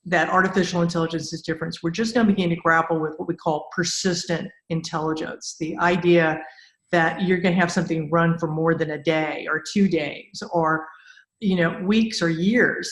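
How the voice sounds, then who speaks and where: A woman with a lowish voice hosts a conversational interview podcast. She gushes with enthusiasm as she talks and asks questions. The sound is roomy, with noticeable echo around her words. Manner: effusive, enthusiastic.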